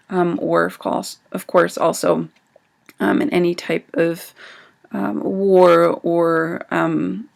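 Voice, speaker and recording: Gravelly-voiced woman doctor getting over a cold. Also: on the low side. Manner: frequent ums, conversational explaining. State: recovering from a cold. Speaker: medical doctor and survival business co-owner. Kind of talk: informal educational talk. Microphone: livestream setup.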